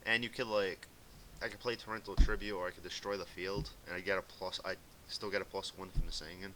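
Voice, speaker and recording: A young man with a light Boston accent talks in a low voice with a bright, forceful edge. He rambles in a laid-back way with fillers, as if giving casual commentary on his game at home. A faint hiss sits under the recording. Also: flat delivery, a little fast, rough recording. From Massachusetts. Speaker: gaming video commentator. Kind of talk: casual commentary over gameplay.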